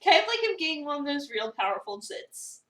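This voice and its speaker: A woman performs an overwhelmed young cafe worker telling a visual novel story with sarcastic, dramatic disbelief. The voice is high and swings widely, picked up from slightly away in a roomy space. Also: sing-song, fairly quick, a bit bright. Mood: exasperated. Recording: roomy, slightly distant mic.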